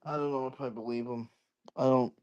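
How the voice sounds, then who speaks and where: A man's voice: medium pitch, steady tone, brisk, a little mellow, clean tone, muffled recording. A man co-hosting a casual livestream, skeptical and teasing in tone.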